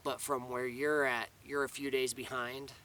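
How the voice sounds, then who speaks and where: Man with a bright voice, rambling outdoors. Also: medium pitch, flat delivery, moderate pace, far from the mic, a little hiss or hum. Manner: rambling, many restarts and fillers. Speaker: vlogger. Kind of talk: casual spoken update to camera. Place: outdoors in the mountains.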